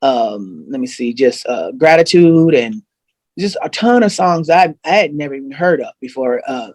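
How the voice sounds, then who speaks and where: A man's voice: medium pitch, normal speed, dry recording. A man, a guest singer, chatting on a video call and telling stories with lots of restarts. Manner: animated, storytelling, frequent restarts. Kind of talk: casual chat on a video call.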